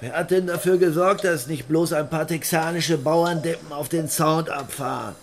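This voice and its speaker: An actor playing a bitter, ruined middle-aged bandleader who rants with self-pity in a radio play. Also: male voice, on the high side, moderate pace, slight background noise, natural voice. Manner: ranting, self-pitying. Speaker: washed-up western swing bandleader.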